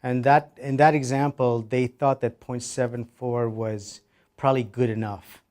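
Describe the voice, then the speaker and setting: A man's voice: medium pitch, flat delivery, unhurried, a little mellow. A man presenting at a workshop and answering questions, tagging his sentences with "right?" and "like". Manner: filler-heavy, keeps adding "right?". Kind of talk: conference Q&A.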